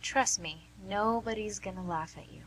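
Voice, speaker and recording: A woman's voice: mid-range voice, moderate pace, fairly bright tone, a little hiss or hum, natural voice, poor audio. A woman playing a plain-spoken, caring but pushy nurse in a radio play, persuasive and folksy. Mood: reassuring. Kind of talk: amateur radio theatre acting.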